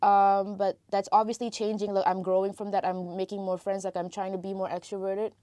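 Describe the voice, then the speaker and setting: A woman's voice: medium pitch, little pitch movement, a little fast, dark tone, no echo. A young woman from Malaysia with an American-sounding Malaysian English accent, chatting as a podcast guest and filling her talk with "like" and "you know". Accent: American-influenced Malaysian English. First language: Tamil. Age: young adult. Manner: chatty, lots of "like" and "you know", frequent restarts. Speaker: podcast guest, international student. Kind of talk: casual interview conversation.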